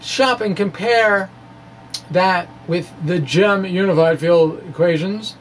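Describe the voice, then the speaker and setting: A man giving an informal, halting, wry reading of his physics blog, often pausing and amused at his own asides. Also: high-pitched. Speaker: amateur physics blogger. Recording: noisy room recording.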